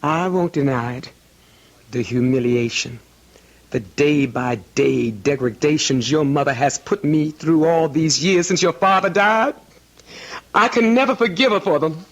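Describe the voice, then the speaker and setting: Husky, anxious older housekeeper. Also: female voice, deep voice, measured pace, dull audio. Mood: bitter. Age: middle-aged or older. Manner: deferential, fearful, pleading. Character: anxious, long-suffering older housekeeper, protective mother. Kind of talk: old-time radio drama acting.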